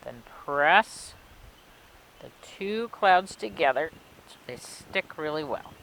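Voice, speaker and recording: Woman, children's librarian, bright tone, animated pitch, simple clear phrasing, storytime delivery. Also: slow, a little hiss or hum, low-quality recording. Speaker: children's librarian reading a story and demonstrating a craft. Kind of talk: read-aloud storytelling and craft instructions for children.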